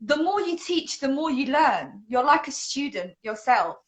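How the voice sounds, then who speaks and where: A woman's voice: high-pitched, big pitch swings, a little slow. A young woman from London, an English teacher answering interview questions over a video call, upbeat and fluent. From London, England. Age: young adult.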